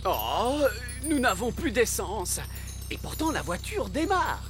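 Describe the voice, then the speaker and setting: An actress voicing a proper grown-up character in a French children's cartoon, with expressive delivery. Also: female voice, fairly high pitch, slow, music in the background. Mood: puzzled, pleased. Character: pleasantly puzzled grown-up car passenger.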